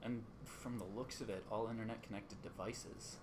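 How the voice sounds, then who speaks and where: A grave, worried radio station colleague played by an actor in a radio drama. The voice is mid-pitched and recorded in an echoey room with faint noise. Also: fairly even intonation, normal speed, natural voice. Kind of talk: radio play acting. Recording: roomy echo with faint noise.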